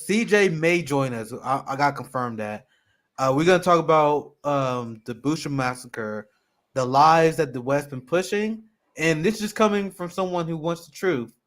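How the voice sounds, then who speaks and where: A man hosting a political livestream, casually running through the show schedule in an upbeat way. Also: on the high side. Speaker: host.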